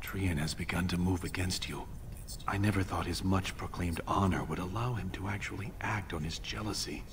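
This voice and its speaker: Low-voiced scheming young dwarven prince. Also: male voice, fairly even intonation, noisy background. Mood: concerned. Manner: conspiratorial, deliberate. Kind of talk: fantasy video game acting. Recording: game dialogue under music.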